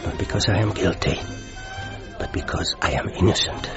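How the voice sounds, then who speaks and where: A man playing a bitter, frightened Berliner in a radio drama, with a German accent and a halting, confiding manner. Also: on the low side, wildly swooping pitch. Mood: haunted. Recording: muffled radio drama with music behind.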